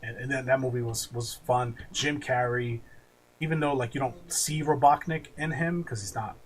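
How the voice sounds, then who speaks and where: A man's voice: mid-range voice, steady tone, moderate pace. A man hosting a podcast, chatting casually with opinions and the odd swear word. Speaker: podcast host. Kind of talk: casual opinionated film-ranking chat. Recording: clearly audible background noise.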